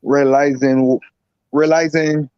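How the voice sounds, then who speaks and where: A man from Barbados, a guest herbalist and boxer, talking in a relaxed, rambling way with lots of repeats. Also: fairly high pitch, takes it slowly, clean tone, dry recording, dull audio. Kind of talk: casual interview talk.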